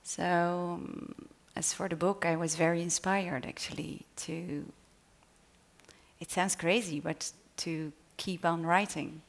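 A woman's voice: fairly low pitch, steady tone, slow, creaky. A woman with a Dutch accent, an author speaking on a panel and then reading her own short story in English, a little hesitant. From Netherlands. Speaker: author reading at a literary event.

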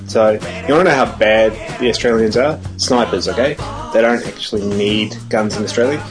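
A man's voice: on the low side, moderate pace. A man from New Zealand with a New Zealand accent, delivering a comic spoken monologue as a podcast contributor. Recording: music playing behind the voice.

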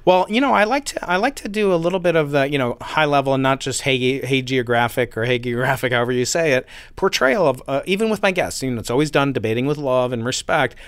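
A man talks through his anecdotes with animated energy, pushing back on ideas as a guest in an interview. His voice sits in a middle register, and the recording is dry and close. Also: mid-range voice, quick pace, few pauses, clear, resonant tone, no echo. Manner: animated, storytelling, pushes back. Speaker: physicist guest. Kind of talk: conversational interview. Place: university building.

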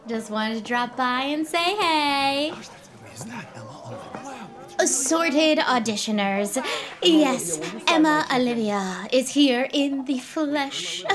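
An actress playing an imperious, self-absorbed Broadway diva with a gushing, condescending, bossy manner in a comedic audio drama. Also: female voice, sits high, animated, takes it slowly, crowd noise. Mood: gushing, self-satisfied.

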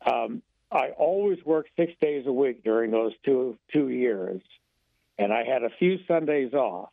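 Hoarse older man calling in by phone. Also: medium pitch, expressive, a little slow, dry recording. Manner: folksy, indignant storytelling. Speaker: radio caller. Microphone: phone line. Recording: tinny phone line, dry.

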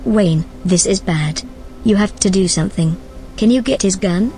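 A woman's voice: medium pitch, moderate pace, dark tone, soft background music, lightly acted. An actress in an audio drama playing a watchful female companion who gives urgent instructions. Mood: urgent, concerned.

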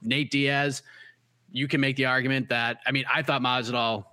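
Bright-voiced man breaking down fights. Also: mid-range voice, steady tone, normal speed, dry recording. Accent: Boston. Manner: emphatic, repeats key phrases. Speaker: MMA analyst on a preview show. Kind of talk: casual fight analysis chat.

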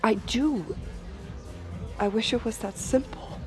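A woman's voice: a little high, slow pace, music in the background. An actress playing a devoted, scheming woman android who persuades earnestly and seductively in an audio drama. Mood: earnest pleading. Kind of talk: intimate audio drama acting.

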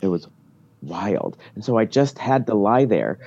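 A woman calling in by phone to tell a personal story, giggly and emphatic with dramatic pauses. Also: extremely low pitch, very animated, warm tone, husky, no room sound. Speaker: podcast caller. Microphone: phone call. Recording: dull, dry phone-call sound.

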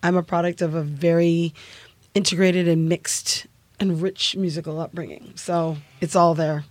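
A woman's voice: fairly low pitch, fairly even intonation, normal speed, no echo. Chatty Canadian woman singer, dry studio. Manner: chatty, laughing, many fillers. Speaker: singer guest. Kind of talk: interview storytelling.

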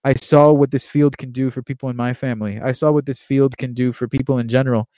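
Mellow, fast-talking young man from Miami. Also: medium pitch, steady tone, dry recording. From Miami, Florida, USA. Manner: quick, earnest, with frequent fillers. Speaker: podcast host and baseball writer. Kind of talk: casual monologue.

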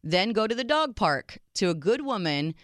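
A woman co-hosting a radio talk show with a low voice, chatting in a lively, playful way. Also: moderate pace, no room sound, clean studio sound. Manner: lively, playful, laughs easily. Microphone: studio microphone.

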